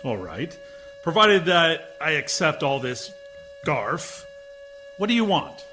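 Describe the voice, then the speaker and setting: A man's voice: a little high, very animated, slow, sharp tone, faint music behind, heavily acted. A man playing a suspicious, sardonic and defiant military commander in a science fiction radio play, impatient and dryly sarcastic. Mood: sardonic, wary.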